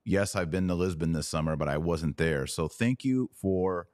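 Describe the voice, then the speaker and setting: A man's voice: low voice, moderate pace, darkish tone, no room sound, clean studio sound. A middle-aged man hosting a podcast, chatting casually and informally in a folksy way. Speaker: podcast host. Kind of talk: casual interview talk.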